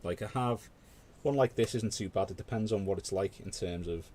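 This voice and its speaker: Deep-voiced British man, chatty. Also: moderate pace, mellow, a little hiss or hum. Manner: rambling, conversational, full of asides. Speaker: streamer hosting a paint-along. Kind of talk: casual chat while painting.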